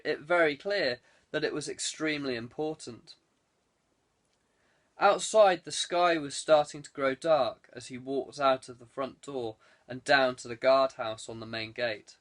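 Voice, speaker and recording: A male audiobook narrator reads scripted fiction. His voice sits a little higher than most men's. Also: fairly bright tone.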